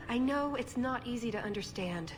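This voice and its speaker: An idealistic young woman from a fantasy game, devoted to her order. Her voice is fairly high and sincere, as if making her case. It rings with long reverb over clear music. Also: expressive, measured pace. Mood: earnest, reflective. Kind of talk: video game dialogue acting.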